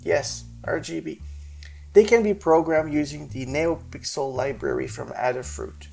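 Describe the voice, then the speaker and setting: A man with a slightly gravelly voice explains his hardware project in a measured, methodical tech demo narration. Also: on the high side, dark tone, faint music behind. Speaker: maker presenting his hardware and firmware project.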